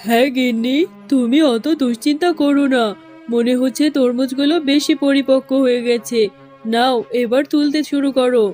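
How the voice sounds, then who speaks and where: An actor voicing a calm, reassuring father fox, a village farmer in a children's cartoon. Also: high voice, moderate pace, faint music behind, lightly acted.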